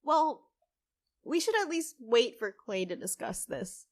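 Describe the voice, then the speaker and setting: An actress playing a kind, apologetic half-human cafe co-owner in a fiction podcast, gentle and hesitant in manner. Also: female voice, a little high, sing-song, unhurried. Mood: polite, calm.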